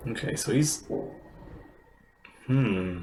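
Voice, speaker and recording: A woman's voice: a bass voice, expressive, extremely slow, warm tone, slight background noise, low-quality recording. A woman streamer giving casual live game commentary in a hesitant, musing way.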